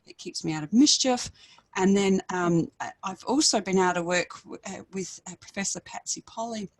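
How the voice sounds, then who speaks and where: An Australian woman with a croaky voice, introducing a panel on a webinar call in a rambling way, with many fillers and restarts. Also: medium pitch, normal speed, no room sound. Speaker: panelist from an ePortfolio group. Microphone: video call.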